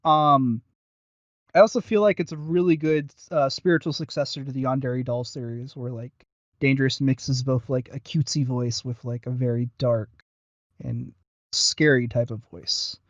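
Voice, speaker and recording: Male podcast co-host, halting and enthusiastic, casual reviewer chat, very dry close sound with dulled fidelity. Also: medium pitch, fairly even intonation, dry recording, dull audio.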